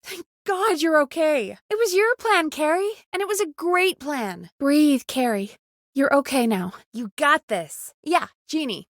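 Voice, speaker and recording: A woman playing a bossy but caring, exasperated radio show producer in a video game, brisk and expressive. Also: high voice, no room sound, clean studio sound. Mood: encouraging, caring, then chatty.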